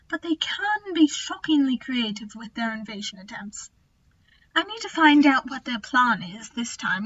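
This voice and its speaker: A woman's voice: high voice, a bit bright, husky, muffled recording, exaggerated voice. A woman playing a clever, resolute alien Time Lord who looks thirty, composed and explanatory, in a fan audio drama. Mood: focused, matter-of-fact.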